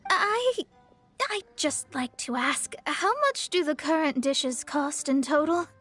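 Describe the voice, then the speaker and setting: Young astrologist, anime game: high voice, earnest, lofty diction, pride that flusters easily. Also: female voice, big pitch swings, takes it slowly, sharp tone, faint music behind, full character voice. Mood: flustered, hesitant. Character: proud, earnest young female astrologist, short of money. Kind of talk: anime-style video game acting.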